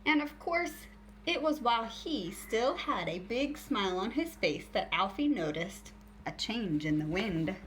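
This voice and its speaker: A woman teacher reading a picture book aloud to young children in an expressive, deliberate storytelling way. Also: medium pitch, a little hiss or hum.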